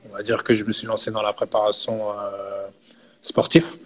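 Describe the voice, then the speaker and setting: A man's voice: on the low side, moderate pace, low-quality recording. Belgian man in his thirties, chatting easily. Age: 30s. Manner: relaxed, frequent filler "tu vois" and restarts. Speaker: personal fitness coach. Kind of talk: casual interview talk.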